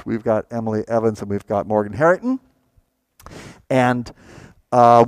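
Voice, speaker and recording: A man's voice: mid-range voice, no echo. An older man, an organist and composer, chatting enthusiastically as he introduces a concert inside a large wooden church, speaking into a clip-on mic. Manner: chatty, enthusiastic, warm asides. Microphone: clip-on lapel mic.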